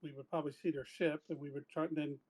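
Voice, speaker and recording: A man's voice: a little high, flat delivery, fast, a little mellow, poor audio. A man talking casually as a player at a tabletop game.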